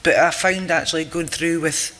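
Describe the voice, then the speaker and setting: Brief: chatty patient panelist, bright tense tone, a little high, little pitch movement. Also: male voice, steady tone, normal speed. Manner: chatty, joking. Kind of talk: casual panel talk.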